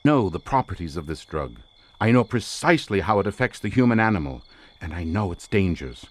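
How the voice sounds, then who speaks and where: Obsessed occult researcher, swooping delivery. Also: male voice, low voice, very animated, a little fast, dry recording. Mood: intense, instructing. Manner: grandiloquent, formal, theatrical. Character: obsessed, intense male occult researcher, imperious and fervent. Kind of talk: dramatic audio drama acting.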